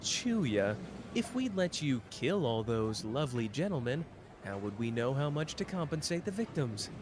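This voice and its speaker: A man's voice: mid-range voice, big pitch swings, normal speed, noisy background, natural voice. An actor playing a smug, teasing young man who mocks his rival with a sing-song manner in an audio roleplay. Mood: mocking. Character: smug teasing young male mafia-hunter, playful and manipulative. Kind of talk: ASMR roleplay acting.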